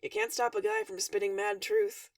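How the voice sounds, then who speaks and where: Girl doing a cool cocky troll dude in a story, slangy n nonchalant, creaky. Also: very high-pitched, flat delivery, normal speed, no room sound, studio quality, far from the mic, pitched above the performer's own voice, heavily acted. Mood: cool, teasing. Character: cool, cocky, laid-back young male troll.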